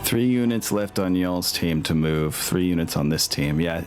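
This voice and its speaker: Low-voiced man running a tabletop game. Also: moderate pace, darkish tone, a little hiss or hum. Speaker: game master. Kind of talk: casual tabletop game chat.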